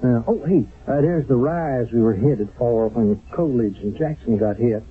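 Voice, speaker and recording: An actor playing a talkative, excitable young American soldier with folksy grammar and a husky voice in a radio drama. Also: male voice, mid-range voice, normal speed, soft background music. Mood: chatty, uneasy. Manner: colloquial, uneducated grammar, excitable. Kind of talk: 1970s radio drama acting. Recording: muffled narrow-band 1970s radio broadcast.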